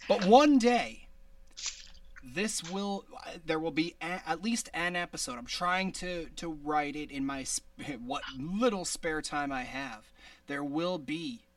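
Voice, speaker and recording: A man with a high-pitched voice hosting a casual podcast, rambling with many restarts and laughs. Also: lively intonation, takes it slowly, far from the mic, slight background noise. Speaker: podcast host.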